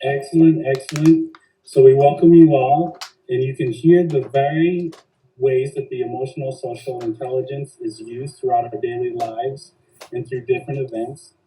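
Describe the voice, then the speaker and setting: Man hosting a podcast, deep dark tone, formal, kinda far from the mic. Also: fairly even intonation, normal speed. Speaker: podcast host. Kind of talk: semi-scripted podcast hosting.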